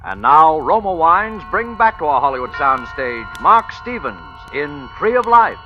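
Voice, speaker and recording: A male announcer introduces a show in dramatic vintage radio style. His slightly high voice moves expressively over music on a muffled old recording. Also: sing-song, unhurried.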